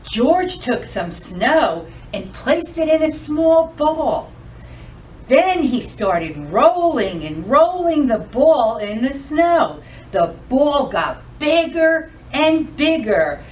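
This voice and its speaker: Lady doing storytime for little kids, really animated and unhurried, kinda far from the mic. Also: high-pitched, a little hiss or hum. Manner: sing-song, deliberate, expressive storyteller delivery. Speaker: library storytime host. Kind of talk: children's storytime read-aloud, addressing young kids.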